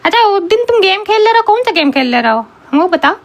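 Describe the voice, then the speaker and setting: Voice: very high voice, sing-song, quick pace, background noise, exaggerated voice. A performer voicing a cheeky young schoolboy who needles the adults in a comic cartoon. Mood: pestering. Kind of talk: comic cartoon dialogue in colloquial Hindi.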